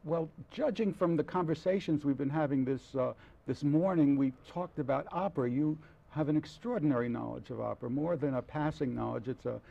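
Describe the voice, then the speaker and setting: A man's voice: fairly high pitch, vocal fry, mellow, highs cut off. An older man hosting a studio interview, hesitant, with frequent fillers and restarts. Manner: halting, many fillers and restarts, admiring. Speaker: TV interview host. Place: dark TV studio set. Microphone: lapel mic.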